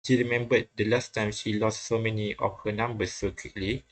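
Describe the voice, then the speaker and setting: A man reading visual novel text aloud in a halting way, often stumbling and repeating phrases. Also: lowish voice, flat delivery, poor audio. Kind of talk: halting read-aloud narration of game text.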